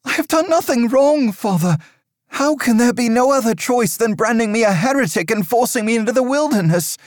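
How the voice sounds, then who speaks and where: A man's voice: high voice, lively intonation, unhurried, no room sound, studio quality, voice pushed up. A man voicing a desperate young monk who pleads and grows menacing. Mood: pleading.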